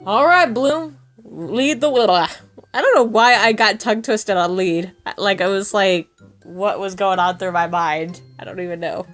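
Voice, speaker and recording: A woman's voice: mid-range voice, a little slow, faint music behind. A woman recording outtakes as an amateur voice actor, giggly, self-mocking and prone to swearing between retakes. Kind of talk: recording session outtakes, flubbing and retaking lines.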